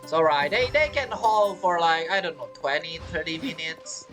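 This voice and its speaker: A woman's voice: low-pitched, moderate pace, a bit bright, faint music behind. A woman giving casual, rambling game commentary, talking half to herself and half to viewers. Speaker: gameplay streamer.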